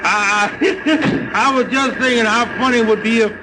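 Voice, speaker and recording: An actor playing a jittery, comical manservant and driver in an old-time radio serial, with folksy nonstandard grammar. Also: male voice, very high voice, fairly even intonation, unhurried, background noise. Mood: amused.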